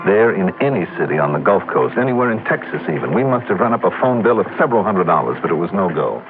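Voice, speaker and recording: Determined american radio detective, lowish voice, old tinny noisy recording. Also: male voice, sing-song, fast talker, over music. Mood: matter-of-fact narration. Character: American insurance investigator, urgent, persistent, determined. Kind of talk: 1950s radio detective acting.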